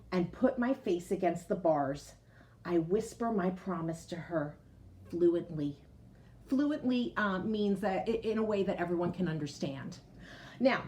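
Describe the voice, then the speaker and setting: Lady teacher reading a storybook to kids, really animated pitch, talks right to them. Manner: expressive, addresses young listeners directly with questions. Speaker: teacher reading a picture book aloud to children. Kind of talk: storybook read-aloud with explanatory asides.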